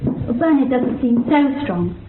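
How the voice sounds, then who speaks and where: Slow, high-voiced, curious woman, noisy recording. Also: expressive. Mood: gently probing. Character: curious, observant woman musing on strange companions. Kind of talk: radio drama acting. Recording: narrow muffled sound with clear noise.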